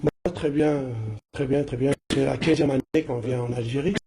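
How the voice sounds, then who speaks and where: A man from Algeria, president of a charity, talking as a guest in a TV studio. He is congested from the flu, and he speaks haltingly with many restarts and fillers. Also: mid-range voice, steady tone, noisy background. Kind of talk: casual chat.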